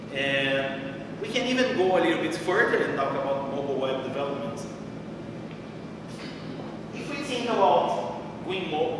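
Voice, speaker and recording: A man from Brazil with a Brazilian Portuguese accent, giving a halting technical conference talk with many restarts and fillers. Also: on the high side. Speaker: conference speaker. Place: conference room. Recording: echoey hall, far from the mic, noisy.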